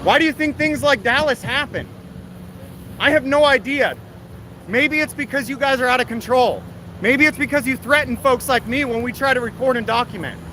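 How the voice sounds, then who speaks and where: A man's voice: very high voice, normal speed, sharp tone. A man speaking in an indignant, scolding, confrontational way as he livestreams on the street. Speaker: livestreamer filming police. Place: outdoors near a street. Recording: noisy outdoor street recording with traffic.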